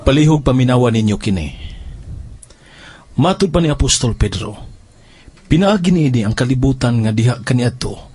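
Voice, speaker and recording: A man's voice: mid-range voice, expressive, moderate pace, long pauses, a little mellow. A man with a Cebuano accent, reading scripture aloud.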